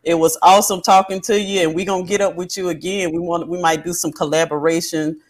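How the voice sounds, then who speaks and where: A man's voice: on the high side, little pitch movement, fast. A man hosting an interview who politely thanks the guest and introduces the next segment. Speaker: host. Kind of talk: radio-style interview hosting.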